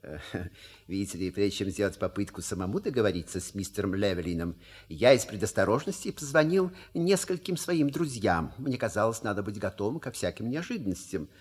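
An actor playing an unflappable, urbane manservant in a comedy audio play, deferential with a husky voice and subtle irony. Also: male voice, fairly low pitch, expressive, a little fast. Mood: explanatory, sly. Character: suave, unflappable, wry male manservant, courteous.

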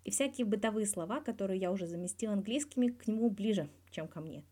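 A woman's voice: mid-range voice, animated, quick pace, warm tone, natural voice. A woman voicing a guarded male hockey star in his thirties, talking candidly and wryly in a scripted interview from a fan fiction audio reading. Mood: fond. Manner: candid, wry, colloquial with swearing.

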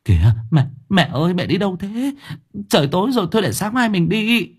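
A man voicing a timid middle-aged daughter-in-law who stammers and pleads softly. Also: on the high side, lively intonation, normal speed, breathy edge, voice pushed up. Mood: pleading.

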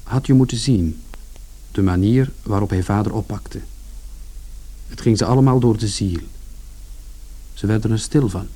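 A man's voice: lowish voice, moderate pace, long pauses, warm tone, a little hiss or hum, natural voice. An actor playing a reflective young man recounting events conversationally in a radio play.

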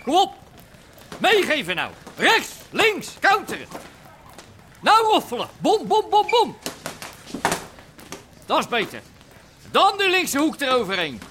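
An actor playing a rough, foul-mouthed boxing coach in a radio drama, barking orders. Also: male voice, very high voice, huge pitch swings, slow, pauses often, background noise, full character voice. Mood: urging.

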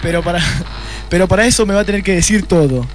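Casting: male actor as rude, boozy radio-play detective, Argentine, high-pitched male voice, sardonic and curt. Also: fast, noisy background, close to an everyday voice. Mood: knowing, measured. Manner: brusque, sardonic. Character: gruff, rude, hard-drinking male private detective. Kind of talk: comic noir radio play acting.

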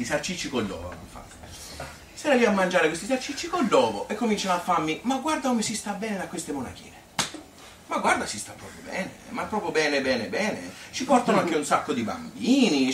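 A man's voice: a little high, huge pitch swings, a little fast, a bit bright, reverberant, distant mic, slight background noise. An actor playing an elderly Tuscan grandmother who reminisces in a rambling, repetitive, colloquial way, as a theatrical monologue. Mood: animated quoting. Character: elderly Tuscan grandmother Annunziata reminiscing about her hard childhood. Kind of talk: theatrical monologue, spontaneous spoken storytelling.

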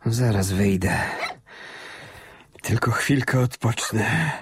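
An actor playing an older dying husband in a radio drama, helpless and indignant, with a plaintive, exasperated manner. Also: male voice, mid-range voice, takes it slowly, close to an everyday voice. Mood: weary. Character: indignant, helpless dying husband watching from outside his body.

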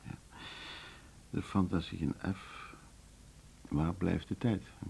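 A man's voice: sits low, steady tone, takes it slowly, vocal fry, warm tone, slight background noise, exaggerated voice. An actor playing a courteous, formal middle-aged chairman of a music school board in a Dutch radio drama. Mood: admiring, wistful. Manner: formal, polite, wordy.

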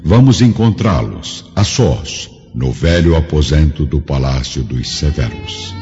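A man's voice: rumbling low, expressive, unhurried, mellow. A man narrating a radio drama from a script in a formal, literary manner. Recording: dull lo-fi audio with music behind.

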